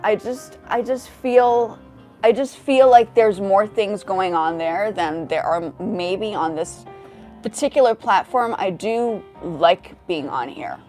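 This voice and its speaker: A woman in her forties hosting a casual livestream, talking to chat in a rambling way with many restarts. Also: medium pitch. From grew up in South Africa, the United States and Japan. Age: 40s. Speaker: livestream host.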